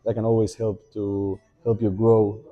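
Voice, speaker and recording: A young man with an Argentine Spanish accent, Spanish as his first language, talking as a podcast guest in a thoughtful way, pausing to think and often restarting his sentences. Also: fairly low pitch, level tone, phone-quality sound. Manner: reflective, thinking aloud with many fillers and restarts. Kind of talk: casual podcast conversation.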